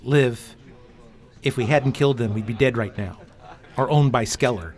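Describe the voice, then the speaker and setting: A man's voice: mid-range voice, moderate pace, a little mellow, close to an everyday voice. A man playing a defensive, exasperated war veteran in an audio drama, wry and a little hesitant.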